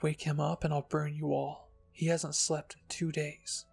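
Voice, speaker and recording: A man voicing a protective teenage girlfriend who speaks quietly but with menace, in a fan fiction reading. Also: on the high side, level tone, measured pace, gravelly, breathy edge, soft background music, full character voice. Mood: quietly threatening. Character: protective, threatening teenage girlfriend of a sleepy boy.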